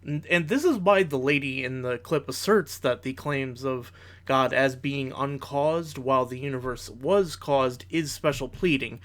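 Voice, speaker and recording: Measured man giving analytical commentary. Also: mid-range voice, fairly bright tone. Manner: measured, analytical, formal wording. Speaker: reaction video commentator. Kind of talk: reaction commentary, argumentative.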